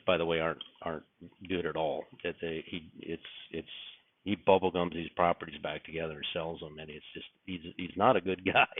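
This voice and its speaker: Raspy man, just up, low pitch, rambling and musing, tinny dry recording. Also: no room sound. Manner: rambling, musing, frequent restarts and fillers. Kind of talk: casual spoken reflection. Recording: dry, tinny phone-like sound.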